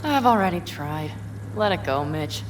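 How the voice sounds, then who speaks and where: A woman playing a tough, determined young nomad leader in a video game, speaking in a brisk, blunt way. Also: low-pitched, lively intonation, noisy background.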